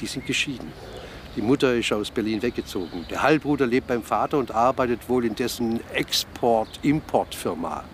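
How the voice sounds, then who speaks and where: An actor playing a worried boyfriend in a radio play, talking casually and confidingly, a little evasive. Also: male voice, mid-range voice, expressive, normal speed, slight background noise, close to an everyday voice.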